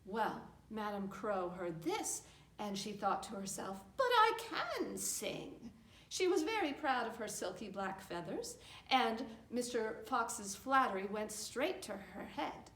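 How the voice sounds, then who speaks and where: A woman's voice: mid-range voice, expressive, measured pace, fairly bright tone. A woman telling stories expressively and simply, as a puppeteer for young children. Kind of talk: storytelling for a children's puppet show. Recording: echoey room.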